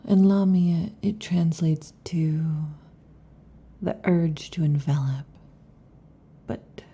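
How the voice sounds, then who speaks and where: A woman voicing a restless, affectionate female lamia in an ASMR roleplay, whispering softly. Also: lowish voice, creaky, slight background noise. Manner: soft intimate whispering. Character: restless affectionate female lamia, snake-tailed monster girl.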